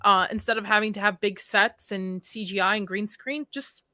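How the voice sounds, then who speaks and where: Blunt, bright-voiced woman host. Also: mid-range voice, steady tone, moderate pace, dry recording, clean studio sound. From Chicago. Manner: blunt, swears freely, laughs often. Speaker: podcast host. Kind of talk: casual discussion.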